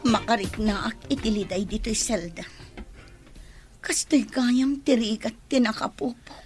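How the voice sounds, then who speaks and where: A woman's voice: fairly high pitch, measured pace, over music, natural voice. A woman playing a regretful, resigned crook in a radio drama, with an Ilocano accent.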